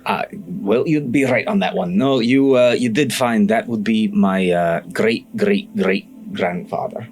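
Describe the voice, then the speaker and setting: A man voicing a hesitant, secretive scholar in a tabletop game, halting and hedging with a folksy manner. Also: on the low side, darkish tone, soft background music. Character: hesitant, secretive male scholar keeping family work.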